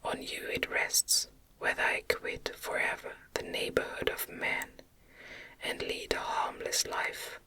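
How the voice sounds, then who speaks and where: Female ASMR reader for gothic fiction: breathy whisper throughout, gentle and measured, very slow. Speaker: audiobook reader. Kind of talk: whispered ASMR novel reading.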